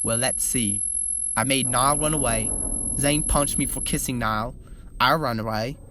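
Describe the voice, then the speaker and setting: A guilt-ridden young man in a boy band, speaking low and softly, as a reader puts him on. Music plays underneath. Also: unhurried, background noise, natural voice. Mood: worried. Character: stressed young male pop singer, band's steady one. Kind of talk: reading fan fiction aloud.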